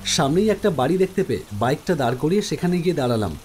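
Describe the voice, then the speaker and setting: A man's voice: on the high side, very animated, fast, a little mellow, a little hiss or hum. A man giving dramatic first-person narration of a horror story. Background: music.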